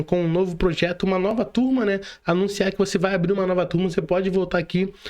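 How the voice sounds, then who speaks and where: A man's voice: sits high, a little mellow. A Brazilian man speaking casually as a podcast host, in informal, slangy talk addressed straight to his listeners. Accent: Brazilian Portuguese.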